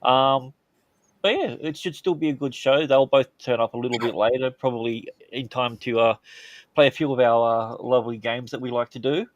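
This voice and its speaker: An Australian man hosts a comedy podcast, his voice at an ordinary middle pitch. He rambles along with plenty of "uh"s and crude, jokey banter. The sound is dry and close, with little room around it. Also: steady tone, normal speed, no room sound, muffled recording. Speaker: podcast host. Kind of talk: casual comedic podcast chat.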